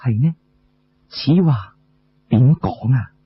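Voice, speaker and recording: A man's voice: medium pitch, expressive, takes it slowly, breathy edge, pitched below the performer's own voice, heavily acted. A man voicing a shrewd, plaintive wife and mother in Cantonese storytelling, with coaxing, sighing delivery. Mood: wary. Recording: muffled lo-fi recording.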